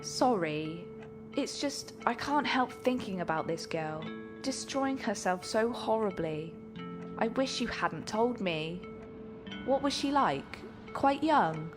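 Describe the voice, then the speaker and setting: A woman's voice: medium pitch, expressive, takes it slowly, over music. A woman playing a compassionate young daughter of a well-off family, earnest and inquisitive, in an amateur radio drama. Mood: troubled, curious.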